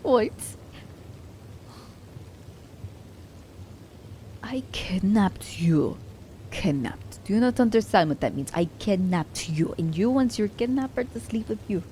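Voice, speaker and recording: A woman's voice: medium pitch, lively intonation, moderate pace, warm tone, slight background noise, natural voice. A woman playing a sly, commanding assassin who teases her captive werewolf in an ASMR roleplay, with a haughty, teasing manner. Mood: incredulous.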